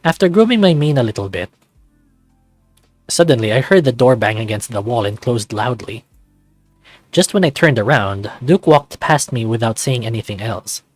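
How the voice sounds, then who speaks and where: A man narrates a visual novel in an expressive voice that moves freely in pitch. He reads the prose aloud and drops in casual asides as a let's-play host. The sound is close and dry, with game music underneath. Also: normal speed, no room sound. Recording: music playing behind.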